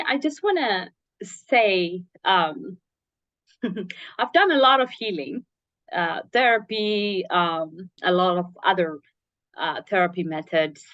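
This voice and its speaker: A woman's voice: lowish voice, takes it slowly, fairly bright tone, no room sound. A woman hosting a casual interview, responding in an appreciative, reflective way, recorded in slightly dull, lo-fi audio. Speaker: interview host.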